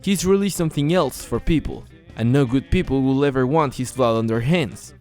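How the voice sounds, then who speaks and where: A man's voice: medium pitch, normal speed, a little mellow, soft background music. A man reviewing a comic book as a channel host in earnest, scripted narration, often posing rhetorical questions to the viewer.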